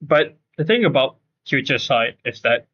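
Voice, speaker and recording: A man's voice: mid-range voice, animated, moderate pace, slightly rough, lo-fi sound. A man streaming a game with casual live commentary, explaining his points emphatically and repeating himself.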